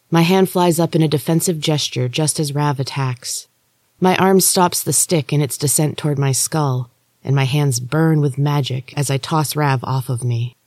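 Mellow, dry-sounding storyteller reading fiction. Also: mid-range voice, no room sound. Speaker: audiobook reader, podcast host. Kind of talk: scripted story narration, first person.

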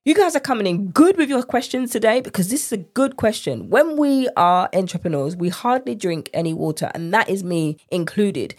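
A woman's voice: mid-range voice, big pitch swings, moderate pace, hardly any pauses, darkish tone. A woman with a congested, slightly nasal voice hosting a casual solo podcast as a coach, speaking chattily and directly to listeners. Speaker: podcast host and nutrition coach.

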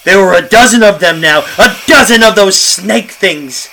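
An actor playing a guilt-ridden, terrified dead man in a horror radio play, narrating melodramatically and haltingly with repeated phrases. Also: sits high, vocal fry, sharp tone, a little hiss or hum, full character voice. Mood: horrified.

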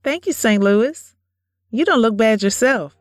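A woman's voice: on the high side, lively intonation, a little slow, soft background music. A woman playing a sweet, polite young woman being courted in an audio drama. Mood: friendly. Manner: gentle, polite.

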